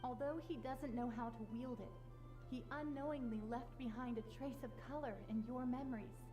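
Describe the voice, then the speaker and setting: An actress playing a calm, wise woman who keeps memories in a video game, speaking in a measured, formal, gentle way. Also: a little high, natural voice. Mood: calm, knowing. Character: calm, wise, solemn female keeper of memories. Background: game music.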